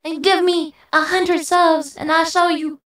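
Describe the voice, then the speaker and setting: A man puts on a brash, squeaky young woman through a voice changer. The voice is very high, roomy and away from the mic, bantering casually. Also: level tone, a little slow, a bit bright, recorded from a distance. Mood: brash, teasing. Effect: female voice changer.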